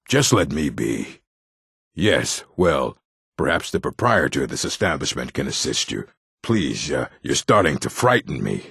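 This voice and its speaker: A timid, flustered orc gentleman. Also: extremely low pitch, creaky, breathy edge, dry recording, studio quality, full character voice. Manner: polite, hesitant, flustered. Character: timid, pleading orc gentleman, easily frightened. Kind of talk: video game character acting.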